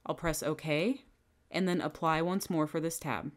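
A woman giving a clear, step-by-step software tutorial over a screen recording, speaking into a close microphone. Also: deep voice, fairly even intonation, a little slow. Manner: clear, step-by-step instructional delivery. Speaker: software trainer. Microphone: headset or bench microphone.

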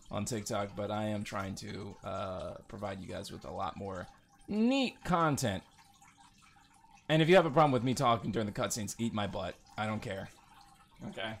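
A man's voice: on the low side, vocal fry. A man doing casual, rambling gameplay commentary as host of a gaming video, with a sarcastic, joking manner.

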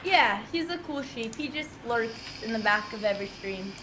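A young woman from Canada chats on a live stream with a high, bright, crisp voice. She teases in a playful, deadpan way. A faint hiss sits under her earbud mic. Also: normal speed, low-quality recording. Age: young adult. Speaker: streamer co-hosting a speed date. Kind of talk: casual teasing chat. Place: indoor room with plants.

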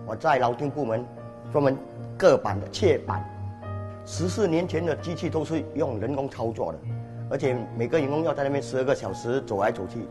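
A man's voice: medium pitch, expressive, brisk, music in the background. A man of fifty, a factory worker, talking earnestly in a documentary interview in a factory storeroom. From Singapore. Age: 50s. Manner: plain, earnest talk.

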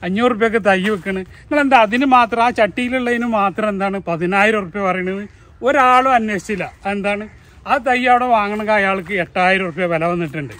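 A man from Kerala giving a casual tour of his plant nursery, talking in an animated, argumentative way and asking rhetorical questions. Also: high-pitched. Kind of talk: casual garden tour talk.

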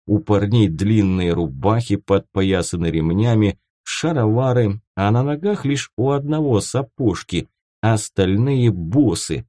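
A man narrating first-person fiction from a script as an audiobook, with a dramatic, expressive delivery. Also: on the low side, measured pace, no echo, clean studio sound.

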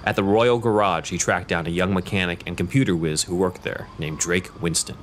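A man narrating an audio drama in a measured, scripted storytelling manner. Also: low voice, steady tone, slight background noise.

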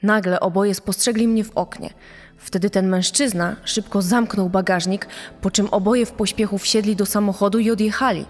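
Actress for woman eyewitness in true crime drama, medium pitch, careful testimony with long reverb. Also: steady tone, moderate pace, darkish tone, lightly acted. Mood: sober. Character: female eyewitness soberly recounting suspicious sighting in court. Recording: echoing hall reverb.

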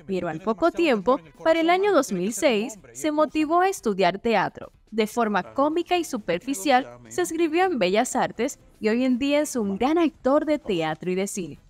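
A woman reading scripted narration for a television profile. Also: a little high. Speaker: voice-over narrator.

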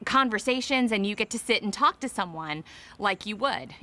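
A woman's voice: mid-range voice, animated, normal speed, pushed and bright. A woman in her forties, a school administrator, explaining things in a friendly way, interviewed outside a school entrance. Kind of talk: interview for local TV feature.

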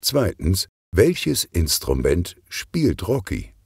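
A man narrates a children's crime audiobook with measured expression. His voice is low, dark and husky, and the pacing is slow. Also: huge pitch swings, no room sound, clean studio sound.

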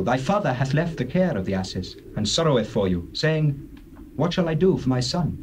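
An actor playing a solemn, commanding prophet in a declamatory dramatized scripture reading. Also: male voice, a little high, low-quality recording. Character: solemn male Hebrew prophet and seer. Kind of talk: dramatized scripture, theatrical stage acting. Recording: old cassette, faint background noise.

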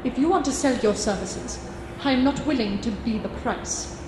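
A woman's voice: on the high side, lively intonation, normal speed, close to an everyday voice. An actress playing a proud, bitter, loyal woman in love in an emotional radio drama. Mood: hurt, defiant. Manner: emotional, reproachful. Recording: echoey hall with noisy ambience.

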